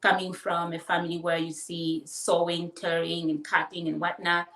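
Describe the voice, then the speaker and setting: A woman from the Democratic Republic of Congo, answering interview questions casually and haltingly, with many fillers. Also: lowish voice, level tone, a bit bright. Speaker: guest, fashion business owner and designer. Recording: roomy echo, distant mic.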